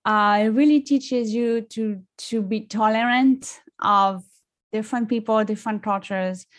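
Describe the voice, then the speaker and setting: A woman's voice: on the high side, a little slow, no echo. French lady chatting away, lots of um and you know, dry close sound. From France. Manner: chatty, many fillers and restarts. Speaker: guest songwriter. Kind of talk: casual interview chat.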